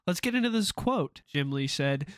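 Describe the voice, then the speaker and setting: A man's voice: fairly high pitch, animated, moderate pace, a bit bright, dry recording, clean studio sound. A man with a stuffed-up, nasal voice from a cold, giving casual, opinionated commentary with frequent "uh" fillers. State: sick with a stuffed-up nose. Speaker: comic book commentary YouTuber.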